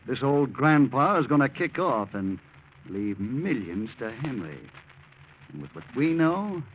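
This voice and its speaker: A man's voice: mid-range voice, big pitch swings, a little slow. An actor playing a cocky young male blackmailer in 1940s radio drama style, slick, taunting and falsely reassuring. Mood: brazen, scheming. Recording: muffled, noisy old radio recording.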